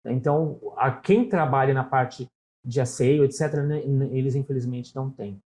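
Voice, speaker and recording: A man's voice: medium pitch, normal speed, a little mellow. A middle-aged man from Brazil with a São Paulo Portuguese accent, talking casually as an interview guest and telling stories, often adding "né?". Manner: storytelling, talkative, frequent "né?" tags.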